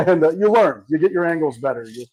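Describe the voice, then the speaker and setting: A man's voice: on the high side, animated, normal speed, darkish tone, husky, low-quality recording. A man guest at a cigar shop podcast, telling his story in an easygoing, chuckling way. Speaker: guest, cigar brand owner. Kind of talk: casual interview storytelling.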